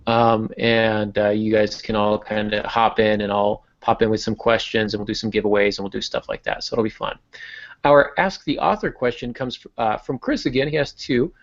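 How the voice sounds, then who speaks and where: A man's voice: on the low side, fairly even intonation, normal speed, muffled recording. A man hosting a casual podcast as an author, explaining things in an informal, self-deprecating way.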